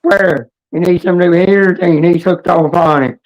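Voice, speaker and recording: A woman with a hoarse, raspy voice hosting a casual livestream chat in a rambling, halting, blunt way. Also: on the low side, a little mellow, dull audio. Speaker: livestream host.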